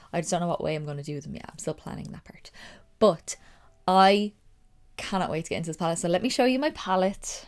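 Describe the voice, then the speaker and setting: A woman's voice: on the low side, darkish tone. A young woman with a stuffy, nasal voice from a cold, chatting casually through a makeup tutorial. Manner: chatty, rambling, upbeat. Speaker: makeup vlogger.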